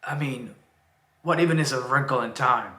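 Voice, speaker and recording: A man with a Cuban accent doing an impression of a gruff, grumpy male footballer, terse and blunt. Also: medium pitch, normal speed, bright, forceful tone, close to an everyday voice. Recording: roomy echo.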